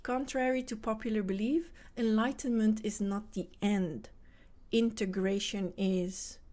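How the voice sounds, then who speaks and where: A woman's voice: mid-range voice, steady tone, a little slow, dark tone. Earnest Dutch-accented woman coaching mothers. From Netherlands. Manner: earnest, speaks directly to the listener. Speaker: podcast host and self-help coach for mothers. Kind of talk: motivational podcast monologue.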